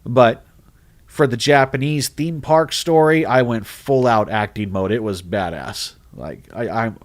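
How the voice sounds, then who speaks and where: A man who narrates horror stories, chatting casually on a livestream, rambling and animated, with lots of restarts. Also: medium pitch, measured pace. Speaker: horror story narrator and streamer. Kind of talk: casual livestream chat reading comments.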